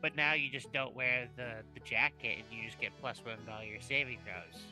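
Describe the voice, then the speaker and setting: A man's voice: medium pitch, a little fast. A man chatting casually as a player in a tabletop game. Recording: music playing behind the voice.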